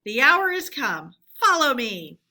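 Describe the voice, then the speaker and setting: A commanding male storybook badger, read out by a woman, gruff in temper and quick to give orders. The voice is bright and raised, with a decisive, bossy manner. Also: high voice, sing-song, exaggerated voice. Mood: urgent, rallying. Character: gruff, commanding male badger leader, impatient but fair.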